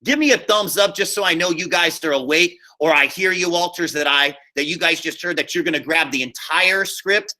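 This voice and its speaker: Bright-voiced man teaching a class online. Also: on the high side, normal speed, few pauses, poor audio. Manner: informal, addresses students directly with frequent check-ins. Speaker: high school theater teacher. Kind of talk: live online class instruction, screen-share walkthrough. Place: remote video class call.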